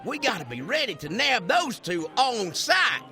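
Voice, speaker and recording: A man's voice: extremely high pitch, big pitch swings, measured pace, bright, forceful tone, soft background music, full character voice. A man voicing a jumpy, overeager cartoon cowboy deputy with folksy, dramatic Western talk. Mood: excited, eager.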